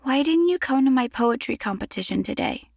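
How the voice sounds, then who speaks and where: A woman's voice: high-pitched, animated, brisk, creaky, a little mellow, no room sound, clean studio sound, heavily acted. A woman playing a hurt, moody, artistic young daughter in an audio drama, sulky and accusing.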